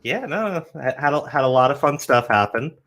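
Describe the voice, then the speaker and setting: A man's voice: medium pitch, lively intonation, fairly quick, fairly bright tone. A young man hosting a casual live stream, with a cold and a cough, rambling with many restarts. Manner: rambling, stumbling restarts, laid-back. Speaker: host.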